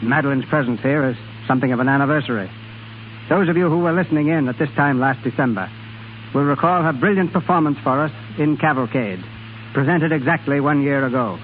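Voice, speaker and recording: A man's voice: mid-range voice, fairly quick, narrow, tinny audio, a little hiss or hum. A man hosting and narrating a 1930s radio theatre programme in scripted, period style. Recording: thin 1930s radio broadcast, faint music.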